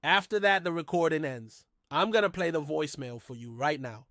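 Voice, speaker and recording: A man with a slightly high voice tells a true mystery in a conversational style. He sounds informal and musing, like he is thinking the case through and putting questions to the listener. The recording is dry and close, with very little room sound. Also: brisk, a bit bright, dry recording. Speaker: host of a mystery storytelling channel.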